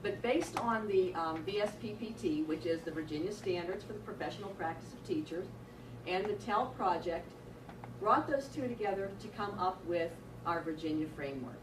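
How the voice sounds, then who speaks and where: A woman gives a workshop presentation in a loud teacher voice, guiding remote sites through her slides. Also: lowish voice, moderate pace. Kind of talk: professional development presentation. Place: lecture room, broadcast to remote sites. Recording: echoey room from far off, noisy.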